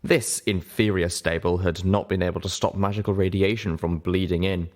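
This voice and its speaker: A man reading scripted fiction aloud as an audiobook narrator, with measured, dramatic storytelling. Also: low-pitched, fairly even intonation, darkish tone.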